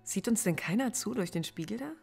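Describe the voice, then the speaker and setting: An actress playing a lonely, defiant philosophy professor under police interrogation in a radio play, speaking quietly and in measured phrases. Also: female voice, mid-range voice, lively intonation, creaky, husky, faint music behind. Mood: guarded. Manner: quiet, measured, often hushed and introspective.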